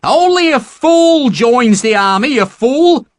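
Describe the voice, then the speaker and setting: An enraged mother in an audiobook, her voice flung high above his own and pushed loud and slow as she screams her scolding. Each word comes out shrill, her pitch swinging wildly. The dry, close sound keeps every shout right at the mic. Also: lively intonation, no room sound. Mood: screaming rage. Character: furious mother, working-class woman, screaming in rage.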